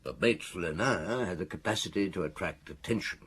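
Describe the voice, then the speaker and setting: An actor playing a seasoned, thoughtful French detective in a radio drama, speaking in a deliberate, authoritative way. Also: male voice, low voice, a bit bright, husky, heavily acted. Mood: reflective, regretful.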